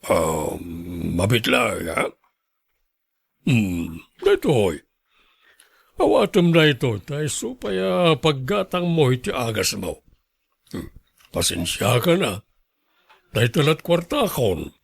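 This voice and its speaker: A man voicing a kindly, generous older pensioner friend in a radio drama, gentle and sympathetic. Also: mid-range voice, sing-song, takes it slowly, vocal fry, long pauses, husky, no room sound, voice pushed down, exaggerated voice. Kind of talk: Ilocano radio drama acting.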